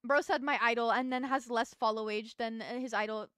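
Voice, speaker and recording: A woman's voice: fairly high pitch, fairly even intonation, a little fast, a bit bright, clean tone, dry recording, studio quality. A young adult woman streaming as a VTuber, chatting casually with her chat while she builds in a game and thinks aloud.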